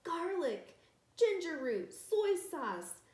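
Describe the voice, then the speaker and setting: A woman's voice: very high-pitched, sing-song, very slow, darkish tone, voice pushed up, heavily acted. A woman voicing eager, generous storybook villagers with emphatic delivery. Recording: echoey room with faint background noise.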